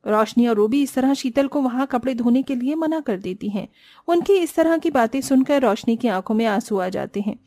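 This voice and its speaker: High mellow-voiced woman narrator. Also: animated, normal speed, no room sound, clean studio sound. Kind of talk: scripted cartoon story narration.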